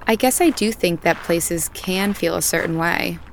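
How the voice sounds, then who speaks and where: An actress playing a calm, self-assured young woman raised on a family farm. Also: lowish voice, normal speed, creaky, slight background noise, poor audio. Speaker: farmer's daughter. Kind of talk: audio drama acting. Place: outdoors on a farm at night.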